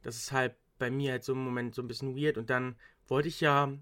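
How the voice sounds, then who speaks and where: A man's voice: mid-range voice, level tone, a little fast, fairly bright tone. A young man, a university student, chatting casually, rambling with frequent restarts and English slang. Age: young adult. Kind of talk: casual chat.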